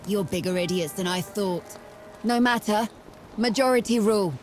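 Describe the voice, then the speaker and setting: A woman's voice: medium pitch, normal speed, music in the background, lightly acted. An actress playing a bitter, defiant woman fighter in a game cutscene, scornful and accusing. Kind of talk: video game cutscene acting.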